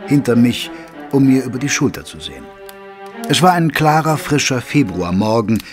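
An actor playing a gentlemanly detective's companion, narrating an audio drama in a measured, literary way. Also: male voice, medium pitch, natural voice. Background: music.

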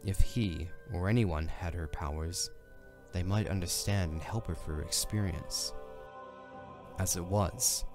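A man giving a measured, serious narration of a scripted dramatic story. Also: low voice, over music.

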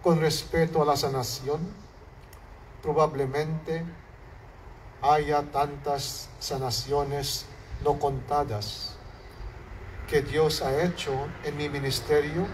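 A man with a Filipino accent whose first language is Hiligaynon, a priest giving a homily at an outdoor altar in slow, measured phrases that fall at the ends. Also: a little high, level tone. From Philippines. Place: outdoor altar under a canopy. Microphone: far camera, mic at lectern. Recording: distant outdoor recording with faint background noise.

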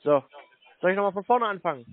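A man's voice: high voice, animated, fast. Tinny, sarcastic man on a phone call. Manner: sarcastic, scolding, blunt. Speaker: scam baiter. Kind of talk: mocking phone conversation. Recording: tinny phone-like sound, clear noise.

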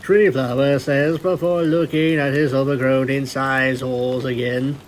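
A man's voice: medium pitch, level tone, a little slow, a little hiss or hum. A man reading scripted story narration as the narrator of a fan fiction tale.

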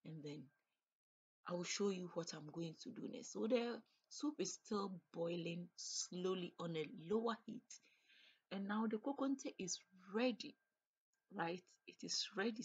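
A woman's voice: on the low side, measured pace, slightly rough. A woman chatting casually as she cooks in her home kitchen, explaining each step as she goes. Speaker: cooking vlogger. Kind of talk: casual cook-along narration.

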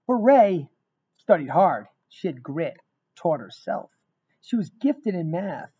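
A middle-aged man reading a rhyming picture book aloud in an expressive, emphatic storytelling manner. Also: high voice, slow, husky. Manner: emphatic, dramatic storytelling with repeated phrases. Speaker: guest reader of a children's book. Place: small indoor room. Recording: dull, lo-fi webcam sound.

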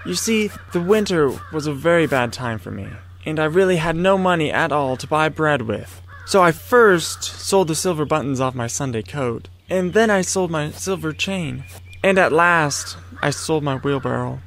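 An actor playing a humble, naive little gardener in an audio drama, meek and eager to please. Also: male voice, a little high, expressive, moderate pace, fairly bright tone. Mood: sheepish, cheerful. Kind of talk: theatrical audio drama acting.

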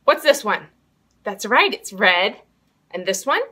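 An American woman, likely in her thirties, hosts children's storytime indoors with an expressive, sing-song delivery, speaking to young children. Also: medium pitch, a little slow, slightly rough. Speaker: children's library storytime host. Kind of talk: children's storytime, interactive games and picture book reading. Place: small indoor room hung with fabric drapes.

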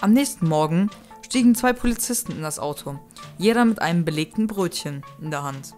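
A female narrator with a slightly low voice reads a scripted audio play. Soft music plays behind her. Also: lively intonation, fairly quick.

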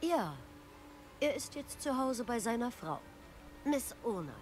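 An actress playing a stern, authoritative law officer in a video game, speaking formally and firmly. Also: female voice, on the high side, big pitch swings, a little slow, darkish tone, a little hiss or hum. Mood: stern, relieved. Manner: formal, admonishing, firm.

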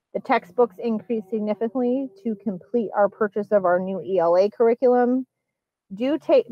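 A woman presenting a school budget at a public hearing, explaining line items and figures in a measured, explanatory way. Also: medium pitch, no echo, dull audio. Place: public meeting.

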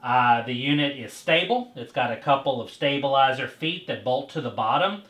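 A man's voice: medium pitch, lively intonation, moderate pace, fairly bright tone. A man in his thirties hosting a casual product demonstration indoors, explaining as he goes with fillers and restarts. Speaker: host. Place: indoor showroom with bare walls. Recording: echoey room.

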